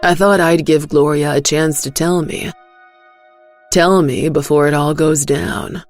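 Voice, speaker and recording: A woman voicing a grave, low-voiced male sheriff. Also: steady tone, faint music behind. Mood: weary, probing. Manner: serious, measured. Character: Luke, large, grave small-town male sheriff.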